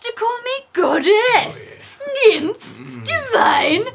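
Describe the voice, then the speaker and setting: A woman acting an indignant young lady of classic stage drama. Her voice is very high and swings widely in pitch. She declaims every grievance theatrically, as in a Shakespearean radio play. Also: animated, very slow, fairly bright tone, exaggerated voice. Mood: wounded, reproachful. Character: Helena, wounded indignant young woman, easily frightened lover.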